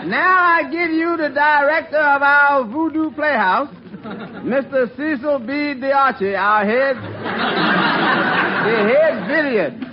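A man's voice: very high-pitched, takes it slowly. A man playing an irreverent, mock-formal announcer who mocks his own show in a 1940s radio comedy. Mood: mock-formal, sassy. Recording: dull old radio recording, faint noise.

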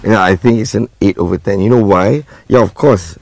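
Gravelly-voiced Malaysian man. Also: on the low side, moderate pace, a little mellow, a little hiss or hum. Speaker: head of radio traffic. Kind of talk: casual interview chat.

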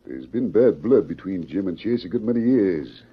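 An actor playing a stern, suspicious small-town sheriff in an old radio western, folksy and blustery. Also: male voice, low voice, lively intonation, normal speed, slightly rough. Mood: guarded, surprised. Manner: folksy frontier drawl phrases, blustery, authoritative. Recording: dull old radio recording.

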